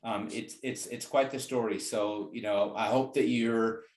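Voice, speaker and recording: A man's voice: on the low side, fairly even intonation, measured pace, a little mellow. Male podcast host in an echoey room. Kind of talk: conversational podcast introduction and interviewing. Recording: roomy, echoing sound.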